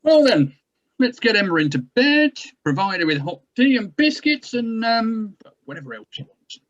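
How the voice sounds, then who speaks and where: A man playing a courteous, hesitant government doctor with gentle authority in a drama table read, on slightly dull sound. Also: high voice, very animated. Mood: gently authoritative. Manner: halting, courteous, searching for words.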